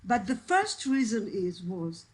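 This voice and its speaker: Woman, native Iraqi Judeo-Arabic speaker, halting and emotional, reading aloud, slow pace, video call. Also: medium pitch, sing-song. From Israel. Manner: halting, searching for English words, emotional. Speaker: actress and translator, guest speaker.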